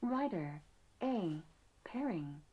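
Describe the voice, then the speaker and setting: A woman delivers short automated device announcements at a very slow pace. The playback is dull and lo-fi, with plainly audible noise around the voice. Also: lowish voice, very animated. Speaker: device voice prompt. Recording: lo-fi, noisy device prompt playback.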